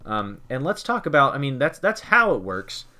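A man's voice: mid-range voice, big pitch swings, normal speed, bright, forceful tone. A man from Kentucky co-hosting a casual podcast, rambling and joking as he quizzes his cohost with leading questions. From Kentucky, United States. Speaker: podcast host.

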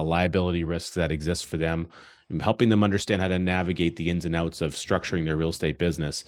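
Deep-voiced male co-host, rambling and halting, dry close mic. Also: no room sound. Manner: rambling, halting, with many restarts. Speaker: podcast co-host. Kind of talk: podcast interviewing.

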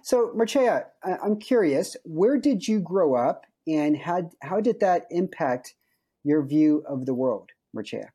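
Upbeat American man hosting a podcast, mellow voice. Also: a little high, lively intonation, measured pace, breathy edge. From United States. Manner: chatty, jokey, laughs often. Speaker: podcast host.

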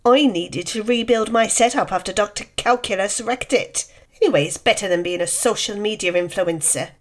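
A woman's voice: medium pitch, normal speed, lightly acted. A woman playing a scornful villainess with an Irish accent in an audio drama. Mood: cool, scornful. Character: scornful Irish female mind-control villain.

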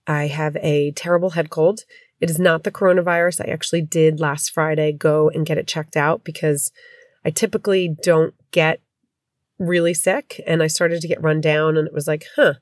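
A woman's voice: low-pitched, fairly even intonation, normal speed, no echo, clean studio sound. A middle-aged woman with a stuffy head cold, chatting candidly as a podcast host from home. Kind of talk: casual podcast chat.

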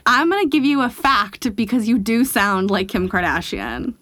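A woman's voice: a little high, animated, moderate pace, pushed and bright, slight background noise. A woman co-hosting a casual podcast, teasing her co-host and laughing often.

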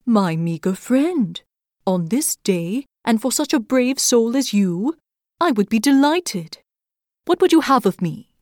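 A woman voicing a gentle, courteous male owl. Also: fairly high pitch, very animated, unhurried, no echo, studio quality.

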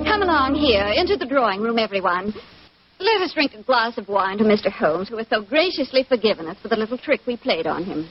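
A woman's voice: on the high side, animated, a little fast, lo-fi sound, lightly acted. A woman playing a gracious upper-class hostess in 1940s radio drama, on an old recording.

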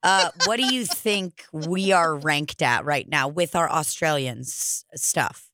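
A woman's voice: low-pitched, slow pace, background noise. A woman hosting a podcast in casual chat, rambling and jokey with lots of filler words. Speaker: podcast host.

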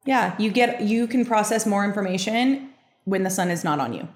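A young adult woman hosting a podcast, explaining a reading in a casual, chatty way, with frequent fillers and quick asides. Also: mid-range voice. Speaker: podcast host giving a reading. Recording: roomy echo.

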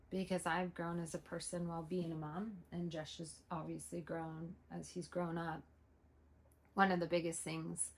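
A woman in her forties, co-hosting a relaxed podcast with her son. Her voice sits a bit low for a woman. She speaks in an open, earnest and reflective way. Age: 40s. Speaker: podcast co-host. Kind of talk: casual conversation.

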